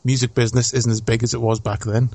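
A young man riffing bluntly on a podcast, dropping swear words and jokes. His pitch is ordinary and mid-range. The sound is dry and close, with no echo. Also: level tone, fast, a little mellow. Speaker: podcast co-host. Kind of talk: casual chat.

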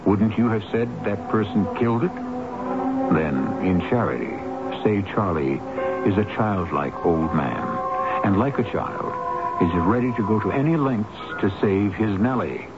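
A man narrating a radio mystery drama as host, in a measured, rhetorical and ominous manner. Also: lowish voice, lively intonation. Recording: dull old radio broadcast with music.

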